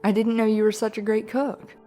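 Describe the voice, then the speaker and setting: Mellow young pony daughter played by a woman. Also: fairly high pitch, little pitch movement, a little fast, faint music behind, natural voice. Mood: excited. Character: caring, eager young adult pony daughter. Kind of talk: character acting in an audio story.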